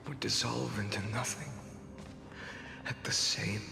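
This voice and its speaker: Slow, solemn, sorrowful hero. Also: male voice, medium pitch, gravelly. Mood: grave, resigned. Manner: solemn, deliberate, brooding. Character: weary male hero, sorrowful and quietly wrathful, recounting endless cycles. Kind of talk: dramatic video game voice acting, slow monologue. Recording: echoey long reverb, music underneath. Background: dramatic game music.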